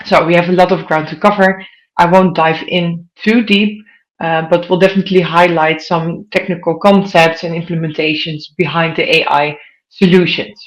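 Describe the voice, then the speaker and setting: A woman's voice: on the low side, steady tone, moderate pace, low-quality recording. Dutch-accented woman presenting a talk. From Netherlands. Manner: addresses the audience directly with questions. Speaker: conference speaker. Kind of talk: online conference presentation.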